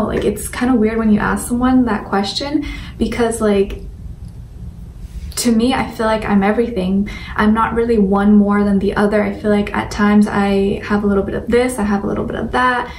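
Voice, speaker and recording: A woman's voice: mid-range voice, a little mellow. A young adult woman chats casually to viewers as a vlogger, in an informal, rambling way full of fillers. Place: indoor room at home with a sunlit window. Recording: roomy echo, distant mic, faint noise.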